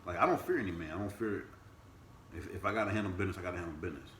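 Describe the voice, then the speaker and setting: This is a man in his thirties with a very low voice, co-hosting a relaxed podcast with his partner. He talks in a loose, animated way and jumps high in pitch when he teases. The room is echoey, with a faint hum behind him. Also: very fast. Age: 30s. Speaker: podcast co-host. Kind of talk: casual couple chat.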